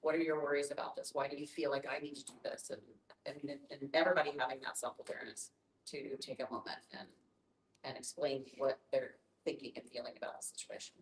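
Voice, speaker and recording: A woman's voice: a bass voice, flat delivery, fast talker. A woman taking part in a group discussion, explaining her thoughts. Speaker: discussion participant. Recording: echoey room with faint noise.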